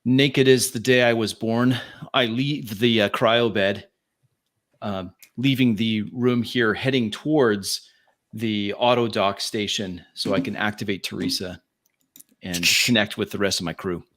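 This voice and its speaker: Low-voiced man roleplaying at the table. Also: steady tone, measured pace, a bit bright. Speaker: player in a tabletop roleplaying game. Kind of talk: casual in-character roleplay and first-person action narration.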